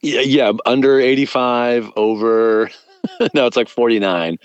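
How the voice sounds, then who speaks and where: A man's voice: mid-range voice, steady tone, measured pace, smooth, lo-fi sound. A man in his fifties, a guest comedian in casual podcast chat, who laughs often and makes jokey asides. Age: 50s.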